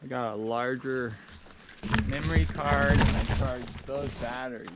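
A man's voice: mid-range voice, steady tone, a little slow. A young adult man chatting casually to a camera while out hiking in the mountains. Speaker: vlogger on a backcountry trip. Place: outdoors on a mountain trail and ridge. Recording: clearly noisy outdoor action-camera audio.

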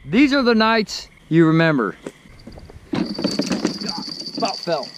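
A fairly high-voiced man chats excitedly while reeling in fish outdoors at night. He is recorded on an action camera that sometimes sits a few steps away, with faint crickets and frogs behind him. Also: slow pace, noisy background. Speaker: fishing vlogger. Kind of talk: casual outdoor commentary. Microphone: action camera, sometimes far.

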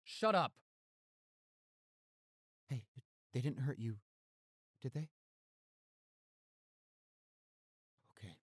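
A very dry, close recording of a man acting as a young boyfriend in an intimate audio roleplay. He is protective and tender with his girlfriend, fierce with anyone who threatens her. His voice sits at a medium male pitch. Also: very animated, slow pace, no room sound. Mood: furious, protective, then soothing, worried. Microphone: close studio microphone.

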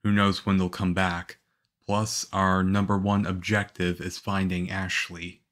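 A man playing a calm, reassuring young government agent in an ASMR roleplay, speaking softly. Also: low-pitched. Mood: reflective. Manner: soft-spoken, unhurried, reassuring.

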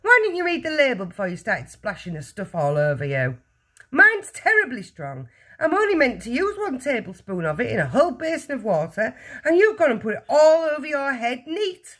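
A woman voicing a scornful, bossy middle-aged mother in a children's storybook, shrill and scolding. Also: huge pitch swings, fairly quick, few pauses, bright, forceful tone, full character voice.

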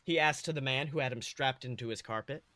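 A man's voice: mid-range voice, a little fast, pushed and bright, no echo. A man narrating a comedic story in a dramatic read-aloud style as a podcast host.

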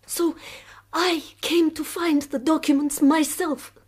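High-voiced, anguished revolutionary woman. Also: steady tone. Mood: bitter, grave. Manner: slow, deliberate, dramatic. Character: proud, anguished, weary Russian revolutionary woman, middle-aged. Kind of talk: BBC radio drama acting.

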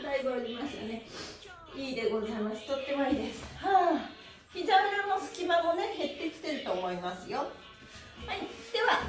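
A middle-aged woman leading an online stretch class in a cheerful, animated, encouraging manner. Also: high voice. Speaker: stretch class instructor and host. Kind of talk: live online exercise lesson, counting and chatting to participants. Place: small room indoors, on a mat on the floor. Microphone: camera a few steps away. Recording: roomy echo.

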